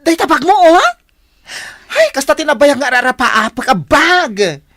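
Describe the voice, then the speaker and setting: A woman with an Ilocano accent playing an excitable, showy, gossipy office friend in a radio comedy, full of gushing exclamations. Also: high voice, no room sound. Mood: gushing envy. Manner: shrieking exclamations, gushing, drawn-out delivery.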